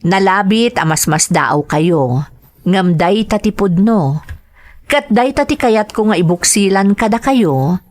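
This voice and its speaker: A woman's voice: medium pitch, expressive, a little slow, a little mellow. Ilocano woman radio host, reading aloud. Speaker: radio drama host reading greetings and a listener's letter.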